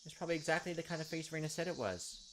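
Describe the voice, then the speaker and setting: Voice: medium pitch, animated, rapid-fire, background noise. A gaming video host reading visual novel text aloud, dropping in casual, playful asides to the characters. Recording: music behind the voice. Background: game music.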